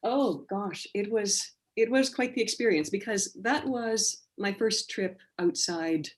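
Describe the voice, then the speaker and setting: Slow, measured canadian woman poet. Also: medium pitch. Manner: thoughtful, measured, many fillers and restarts. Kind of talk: online book launch conversation and poetry reading. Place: home, over a video call. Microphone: video call.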